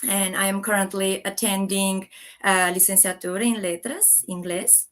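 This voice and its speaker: A woman's voice: medium pitch, fairly even intonation, moderate pace, a bit bright. A woman in her thirties from Armenia, native Armenian speaker, presenting her country on a video call with many fillers and restarts. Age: 30s. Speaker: guest speaker. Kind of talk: online talk presentation.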